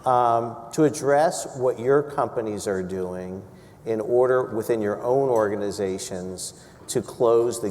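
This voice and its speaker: A man's voice: on the low side, warm tone. A man moderating a live panel, addressing the panelists and the audience and posing questions. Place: large event hall. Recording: echoey hall with clear background noise.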